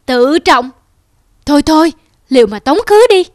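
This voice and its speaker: A woman playing a resentful young wife in a cartoon folk tale, sharp-tongued and sarcastic. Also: sits high, lively intonation, normal speed, no room sound, studio quality. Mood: scornful. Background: music.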